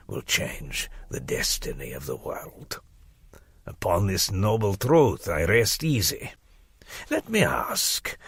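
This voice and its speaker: A man performs an obsessive, self-important scholar for an audiobook. The voice is very raspy and breathy, sitting low and moving slowly. He sounds conspiratorial and grave, with dramatic pauses and hushed, near-whispered phrases. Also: dry recording, clean studio sound, exaggerated voice. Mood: ominous, hushed. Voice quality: husky, breathy. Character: obsessive crackpot male scholar writing a grave warning letter.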